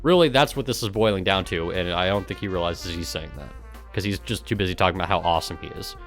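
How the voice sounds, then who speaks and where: Male streamer, low register, dry sarcasm and exasperation, casual sweary commentary over faint music. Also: fast, fairly bright tone. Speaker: streamer and gaming guild member. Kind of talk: live stream reading aloud with sarcastic commentary.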